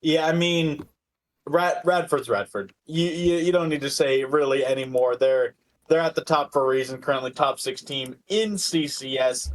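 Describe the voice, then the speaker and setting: A man's voice: on the high side, normal speed, low-quality recording. A man co-hosting a sports podcast, giving casual analysis and often repeating words as he thinks. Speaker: podcast co-host.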